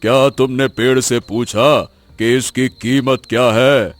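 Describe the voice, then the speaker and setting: A man playing a wise, concerned elderly village teacher in a cartoon story, advising gently with hesitant repetitions. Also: medium pitch, steady tone, slow pace, faint music behind, natural voice. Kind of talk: Hindi cartoon moral story acting.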